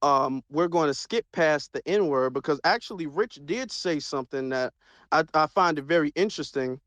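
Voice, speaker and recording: A man hosting an online audio discussion, speaking in a measured, hesitant way with many fillers. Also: a little high, animated, a bit bright, no echo. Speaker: host of audio chat room.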